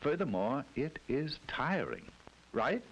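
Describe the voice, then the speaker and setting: A man's voice: fairly high pitch, very animated, slow pace, slight background noise, rough recording. A man giving scripted 1960s educational film narration in a wry, mock-solemn storytelling manner. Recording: narration over loud music.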